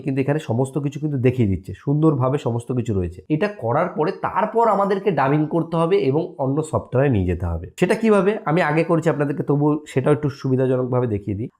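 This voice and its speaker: A male tutorial host walks listeners through an app in a casual, step-by-step way. His voice is mellow and medium in pitch, with lively rises and falls. He often pauses his explanation to check that listeners follow.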